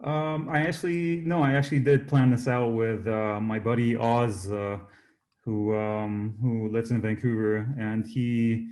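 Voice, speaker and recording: Guy musician getting interviewed, medium pitch, casual, kinda halting and repeats himself. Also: level tone, darkish tone. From Jordanian-born, Canada. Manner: hesitant, halting, repeats words. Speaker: singer-songwriter guest.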